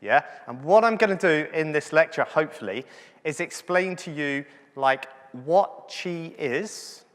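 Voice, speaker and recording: A man's voice: fairly high pitch, expressive, unhurried, fairly bright tone, clean tone. Chatty man lecturing in a hall. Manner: chatty, joking, checks in with "yeah?". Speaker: medical doctor lecturing to physiotherapists. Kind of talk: conference lecture. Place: large conference hall. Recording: hall reverb from the venue.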